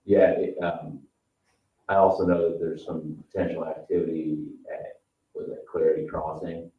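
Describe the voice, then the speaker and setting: Very deep, monotone man, muffled. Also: moderate pace. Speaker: meeting participant. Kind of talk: informal meeting discussion. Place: indoor public meeting room. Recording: dull, echoey, distant microphone.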